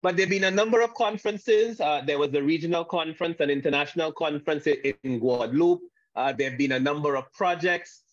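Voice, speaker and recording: A man from Saint Lucia gives a panel talk over a video call, halting, with frequent restarts and fillers. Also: on the high side, no room sound. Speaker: guest speaker. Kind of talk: online panel presentation. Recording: dull lo-fi video call audio.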